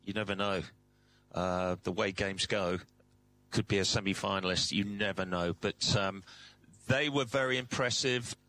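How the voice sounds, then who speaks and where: A man's voice: lowish voice, steady tone, normal speed, bright, forceful tone, poor audio. A man with an English accent co-hosting a sports radio show, agreeing often and adding analysis. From England. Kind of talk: casual sports chat.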